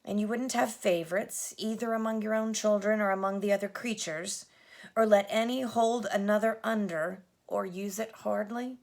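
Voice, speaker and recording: Woman reading as a grave kindly lion king, slow and soft, almost whispered, gravelly. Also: medium pitch, steady tone, lightly acted. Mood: questioning. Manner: grave, measured and hushed. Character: solemn, kindly great male lion king.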